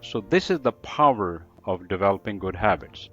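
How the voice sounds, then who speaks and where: A man's voice: sits low, expressive, moderate pace, a bit bright, breathy edge, lo-fi sound, soft background music. A man with an American-trained accent, a teacher, answering interview questions in an emphatic, explanatory way, often repeating phrases. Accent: American-trained English. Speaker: book reviewer, English teacher.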